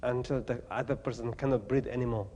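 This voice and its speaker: A man from Tibet, giving a Buddhist teaching in halting, plain English with gentle humor. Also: medium pitch, fairly even intonation, big-room sound, slight background noise. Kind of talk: dharma talk to an audience. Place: talk venue with audience.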